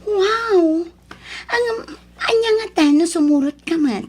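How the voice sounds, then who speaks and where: A woman's voice: extremely high pitch, lively intonation, takes it slowly, a little mellow, full character voice. A woman with an Ilocano Filipino accent acting a young wife and daughter in an audio drama. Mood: coaxing, wifely.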